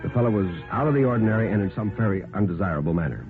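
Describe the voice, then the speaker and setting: A man narrating a scripted 1950s radio horror story in a dramatic, deliberate manner. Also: on the low side, lively intonation. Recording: tinny, narrow-band 1950s radio recording. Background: background music.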